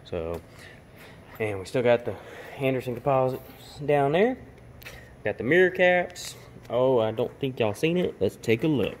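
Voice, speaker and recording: A man's voice: medium pitch, expressive, unhurried, pauses often, a little hiss or hum. Casual man touring his garage. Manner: rambling, informal, with fillers and restarts. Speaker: garage vlogger. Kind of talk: casual walkaround tour. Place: home garage workshop.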